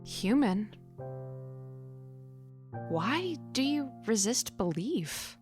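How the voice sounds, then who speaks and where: A woman's voice: on the high side, big pitch swings, very slow, music in the background, heavily acted. A woman voicing a solemn, mysterious magical forest spirit in an audio drama. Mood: solemn questioning.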